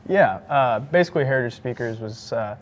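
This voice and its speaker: A teenage boy, a high school student being interviewed, who hedges a lot with "I mean" and "you know.". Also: expressive, slight background noise. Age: teens. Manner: rapid, hedging, lots of "I mean" and "you know".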